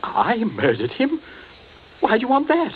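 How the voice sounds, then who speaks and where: An actor playing a frightened sea-captain type who stammers and protests in a vintage radio suspense play. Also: male voice, extremely high pitch, huge pitch swings, unhurried, heavily acted. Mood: alarmed protest. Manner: stammering, pleading, indignant. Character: frightened, protesting male captain, victim of blackmail. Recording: muffled, hissy old radio broadcast.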